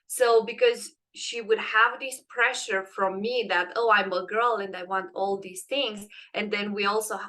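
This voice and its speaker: Woman, Romanian accent, young adult, bright clear tone, earnest storytelling, many ums. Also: medium pitch, steady tone, unhurried, few pauses, poor audio. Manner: earnest, frequent ums and restarts. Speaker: guest, transitions coach. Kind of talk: personal storytelling in a podcast interview.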